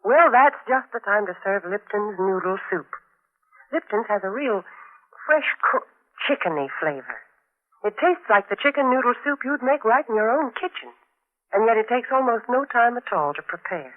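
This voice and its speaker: A woman's voice: fairly high pitch, expressive, moderate pace, slight background noise. A woman announcer in 1940s radio advertising style, with a polished, earnest sales delivery, on an old broadcast recording. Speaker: sponsor's announcer and co-host. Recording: tinny, narrowband vintage radio recording.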